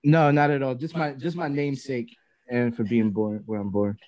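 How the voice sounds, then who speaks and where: A man's voice: mid-range voice, steady tone, normal speed, a little mellow, dry recording. Boisterous man, dry sound. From New Jersey. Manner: boisterous, hyped up. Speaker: podcast guest. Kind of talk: casual chat.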